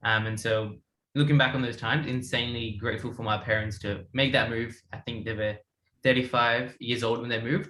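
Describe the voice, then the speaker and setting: Bright-voiced young man, echoey room. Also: lowish voice. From India. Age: 20s. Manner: rambling, many restarts. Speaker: guest. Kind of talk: casual panel chat.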